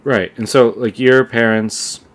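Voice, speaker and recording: A young man co-hosting a podcast, talking casually in a relaxed, rambling way with lots of fillers and restarts. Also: mid-range voice, a little slow. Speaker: podcast co-host. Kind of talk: casual conversation.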